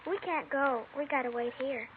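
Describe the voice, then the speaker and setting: Woman voicing a little girl, high voice, scared, guarded, insistent, old radio play, dull lo-fi sound. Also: moderate pace, a little hiss or hum, close to an everyday voice. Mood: plaintive. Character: frightened, defensive young girl. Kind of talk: 1950s radio acting.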